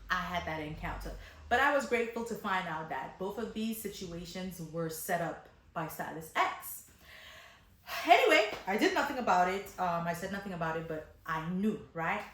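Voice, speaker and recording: A woman's voice: mid-range voice, fairly bright tone. A woman telling a personal story casually and expressively, with slangy asides to viewers, rhetorical questions and acted-out bits of dialogue. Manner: chatty and slangy, addressing viewers directly. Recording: echoey, reverberant room.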